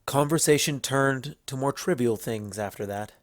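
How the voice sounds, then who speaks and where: A man's voice: medium pitch, normal speed, no echo, studio quality. A man giving a scripted storybook narration as the narrator.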